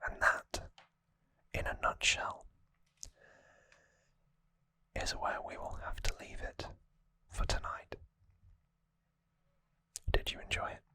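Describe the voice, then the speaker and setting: An ASMR host speaking in a breathy, unvoiced whisper, gentle and earnest, slightly rambling to viewers, close on the microphone. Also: takes it slowly, pauses often. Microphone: close microphone in a dry space.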